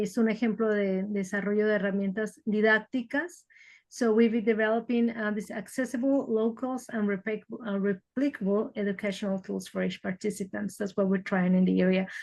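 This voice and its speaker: A woman, speaking on a video call at a mid pitch. She presents her work in a thoughtful, unhurried way and often stops to restart her phrasing. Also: darkish tone. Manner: thoughtful, hesitant with fillers and restarts. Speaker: workshop presenter. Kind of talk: online workshop talk, switching to English.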